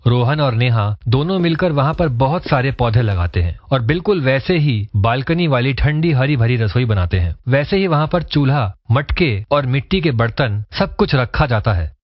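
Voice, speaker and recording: A man's voice: medium pitch, lively intonation, moderate pace, hardly any pauses, dry recording, muffled recording. A man narrating a scripted cartoon story as its storyteller.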